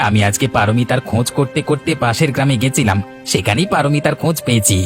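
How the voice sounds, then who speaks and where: A man's voice: mid-range voice, fast, over music, lightly acted. A man voicing an earnest, loyal village uncle in a cartoon, urgent and pleading in his way of talking. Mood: earnest, reassuring. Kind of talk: cartoon voice acting.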